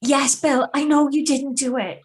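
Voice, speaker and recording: A woman playing a young wife who calmly confesses to murder in a live reading of a radio thriller. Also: sits high, measured pace. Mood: anxious. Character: young wife Patrice, calm remorseless killer confessing. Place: video call reading from home.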